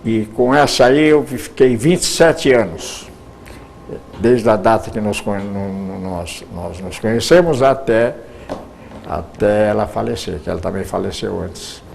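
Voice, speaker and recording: A man's voice: lowish voice, a little slow, creaky, a little mellow, a little hiss or hum. An older man, a retired radio announcer telling his life story, rambling with frequent restarts.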